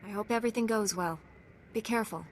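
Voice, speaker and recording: A woman's voice: medium pitch, quick pace, slight background noise, close to an everyday voice. A woman playing a principled, compassionate young warrior heroine in a video game, composed and earnest. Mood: gentle. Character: principled, compassionate young female Jedi warrior, calm and resolute. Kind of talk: video game character acting.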